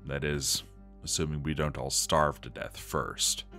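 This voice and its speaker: A man's voice: a bass voice, fairly even intonation, a little slow, pushed and bright, full character voice. A man voicing a gloomy, scornful dwarf settlement leader, reading his journal aloud in a theatrical, emphatic way. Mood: grim, dramatic. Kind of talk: dramatic journal narration over a game. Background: music.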